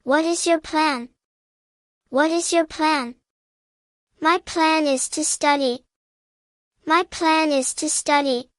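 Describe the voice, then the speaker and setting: A woman narrating a scripted beginner language drill in careful, measured, simple phrases, repeating each sentence. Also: sits high, steady tone, no echo, studio quality. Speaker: English teacher narrator.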